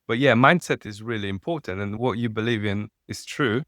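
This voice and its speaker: A man with a Polish accent, a non-native English speaker, talking as a podcast guest, halting and searching for words. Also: fairly low pitch, a bit bright, no echo, clean studio sound. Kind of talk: podcast interview.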